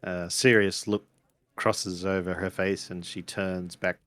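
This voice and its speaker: Male game master, deep and unhurried, improvising tabletop narration with a hesitant delivery. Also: flat delivery, a bit bright, no room sound.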